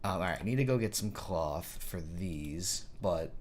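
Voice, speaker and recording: A man with a hoarse voice from a cold, giving casual, self-mocking commentary over his own gameplay, thinking aloud and getting excited at small wins. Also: sits low, a little slow. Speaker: gaming YouTuber.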